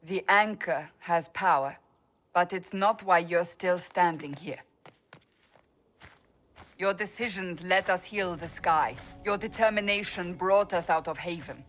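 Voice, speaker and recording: A woman's voice: fairly low pitch, unhurried, fairly bright tone. An actress playing a resolute woman advisor of a holy order, speaking earnestly and firmly in a video game cutscene. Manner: earnest, firm, persuasive. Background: faint game music.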